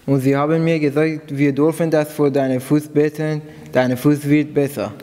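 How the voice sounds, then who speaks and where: A man from Iran giving a personal testimony in halting, phrasing, pausing after each short part. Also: fairly high pitch, flat delivery, dark tone. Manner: simple non-native German, halting phrasing. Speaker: church member giving testimony. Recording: long hall reverb.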